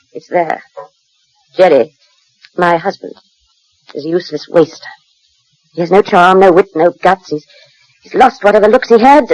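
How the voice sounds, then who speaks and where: An actress playing a composed, world-weary betrayed wife in a 1940s radio drama, speaking in a measured way. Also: female voice, on the low side, darkish tone, no echo. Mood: bitter. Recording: muffled 1940s radio recording.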